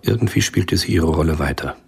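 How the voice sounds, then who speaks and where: An actor playing a sober storyteller, giving scripted narration for a spy thriller radio drama in a husky voice. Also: male voice, very deep voice, brisk, darkish tone, heavily acted.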